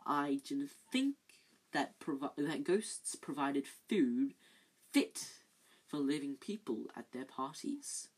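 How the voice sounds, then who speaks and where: A woman voicing a cold, sneering male schoolmaster, slow and hushed. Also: low-pitched, big pitch swings, slightly rough, exaggerated voice, rough recording.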